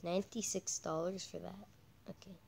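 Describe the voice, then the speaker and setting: A woman doing casual, halting game commentary as a channel host, her voice croaky from a cold. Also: lowish voice, level tone, a little mellow, slight background noise. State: croaky with a cold, coughing and throat-clearing.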